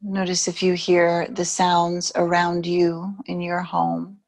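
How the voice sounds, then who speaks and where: A woman yoga teacher guiding a yoga nidra meditation in a soothing, unhurried way, leaving long pauses between phrases. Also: fairly low pitch, monotone, darkish tone.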